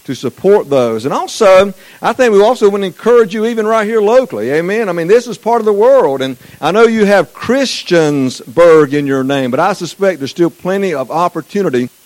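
A man's voice: high voice, animated, fairly quick, hardly any pauses, darkish tone, low-quality recording. A man from Eastern North Carolina with a Southern accent, preaching a folksy sermon as a guest missions speaker and quizzing the congregation. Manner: folksy, chatty, asks the congregation questions. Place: church sanctuary.

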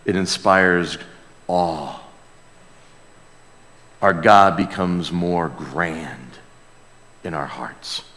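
A man's voice: low-pitched, extremely slow, pauses often, a bit bright, a little hiss or hum. A man preaching a conversational sermon as a pastor, earnest and exclamatory, often repeating phrases for emphasis. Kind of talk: sermon, conversational Bible teaching with call and response. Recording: long hall reverb of a large room.

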